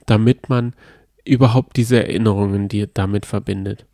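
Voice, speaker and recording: Relaxed man with a creaky voice. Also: fairly low pitch, normal speed, dry recording, clean studio sound. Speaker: podcast co-host. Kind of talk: casual podcast chat.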